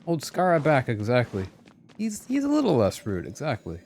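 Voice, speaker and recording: A man's voice: mid-range voice, wildly swooping pitch, moderate pace, a little mellow, a little hiss or hum. A man live-streaming a game, giving playful, rambling, casual commentary as he plays. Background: game music.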